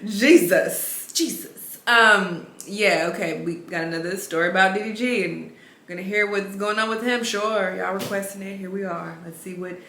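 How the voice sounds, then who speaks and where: A woman's voice: mid-range voice, moderate pace, sharp tone, reverberant, slight background noise. A woman reaction vlogger with a sniffly voice, chatting bluntly and casually about celebrity news from a rented home. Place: rented home full of plants.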